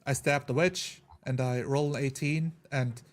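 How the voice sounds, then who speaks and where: A man's voice: medium pitch, fairly even intonation, measured pace. A man chatting casually as a tabletop roleplayer, often repeating and rephrasing his words for emphasis. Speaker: tabletop roleplayer on a gaming show. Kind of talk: casual chat.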